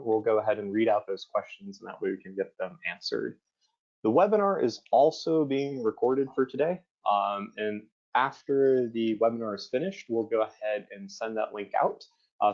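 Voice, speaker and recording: Relaxed man hosting a webinar call. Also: lowish voice. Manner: relaxed, casual, many fillers. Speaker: webinar host. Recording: muffled lo-fi online call audio.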